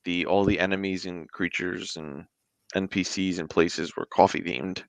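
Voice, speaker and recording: A man's voice: low-pitched, fairly even intonation, moderate pace, vocal fry, no room sound. A man speaking as a guest in a casual interview, with a rambling, conversational delivery. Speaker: guest, indie tabletop game creator.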